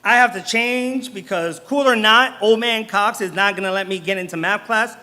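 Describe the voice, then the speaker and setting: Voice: mid-range voice, moderate pace, bright, forceful tone, close to an everyday voice. A performer playing an eager teenage boy who giggles and sounds sheepish. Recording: long hall reverb.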